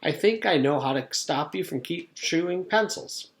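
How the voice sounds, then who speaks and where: A man voicing a strict, brisk woman schoolteacher giving firm instructions in a storybook read-aloud. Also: on the high side, animated, pitched above the performer's own voice. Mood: self-satisfied.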